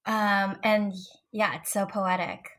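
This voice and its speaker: A woman's voice: medium pitch, steady tone, slow, a bit bright. Enthusiastic female interview host, mid-range voice. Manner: upbeat, enthusiastic. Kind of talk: interview hosting.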